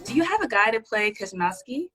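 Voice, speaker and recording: A woman's voice: fairly high pitch, sing-song, brisk, over music. A woman playing a coaxing, persuasive colleague. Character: coaxing, encouraging female colleague.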